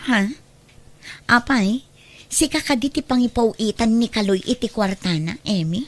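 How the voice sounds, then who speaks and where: A woman's voice: fairly high pitch, moderate pace, lightly acted. An actress playing a wary neighbor woman who nags about debts, in an Ilocano radio drama.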